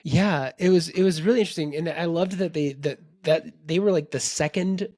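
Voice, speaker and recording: A man's voice: fairly high pitch, a little fast, no echo, studio quality. A man co-hosting a book podcast, rambling in casual chat and quoting people in voices. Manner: rambling, animated, quotes people in character voices. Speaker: podcast co-host.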